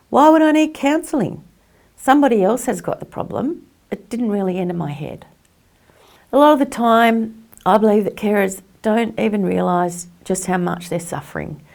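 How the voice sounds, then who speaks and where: Woman, mid-pitched, creaky, reflective testimony, earnest, emphatic. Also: a little mellow. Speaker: carer sharing personal experience.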